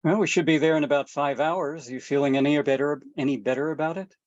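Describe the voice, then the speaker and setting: A man's voice: fairly high pitch, fairly even intonation, highs cut off, close to an everyday voice. An actor at a table read playing a guilt-ridden estranged father who stammers. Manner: halting, stammering.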